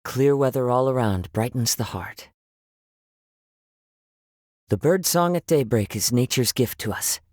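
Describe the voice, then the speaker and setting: A man voicing a gentle, contemplative young wandering samurai for a video game, speaking in measured, poetic, formal phrases. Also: mid-range voice, animated, no room sound, clean studio sound, close to an everyday voice. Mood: serene. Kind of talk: scripted video game character voice lines.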